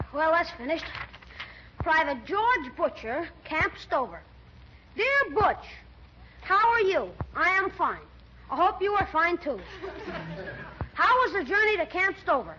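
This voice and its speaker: An actor playing a cheeky, wisecracking young schoolboy with brash, declamatory delivery in old radio comedy. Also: male voice, very high voice, big pitch swings, slow pace, pauses often, sharp tone, heavily acted. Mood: deadpan, cheeky. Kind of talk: 1940s radio comedy acting. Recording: muffled, noisy 1940s radio recording.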